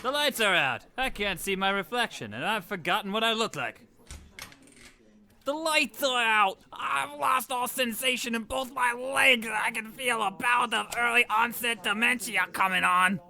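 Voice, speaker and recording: A man's voice: very high-pitched, moderate pace, creaky, bright, forceful tone, heavily acted. A man voicing a panicky, piteous tenant who laments melodramatically in a radio comedy. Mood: despairing.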